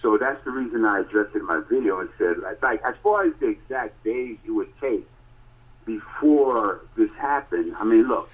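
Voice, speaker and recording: Male podcast co-host, lively rambling storyteller, raspy voice, phone-quality audio. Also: lowish voice, moderate pace. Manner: animated, emphatic storytelling with many fillers. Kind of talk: casual phone chat. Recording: tinny phone line.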